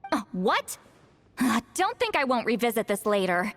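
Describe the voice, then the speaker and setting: A woman's voice: a little high, very animated, moderate pace. A woman voicing a bossy, teasing, self-assured spirit mentor who acts like a granny, in a video game. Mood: smug. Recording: background music.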